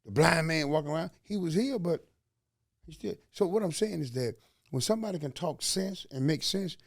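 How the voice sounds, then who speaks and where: A man's voice: fairly high pitch, expressive, normal speed, vocal fry, no echo, clean studio sound. A man from Alabama with a Southern accent, hosting a podcast in a folksy, preachy way, full of slang and rhetorical questions. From Alabama, USA. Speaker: podcast host. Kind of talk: motivational podcast talk.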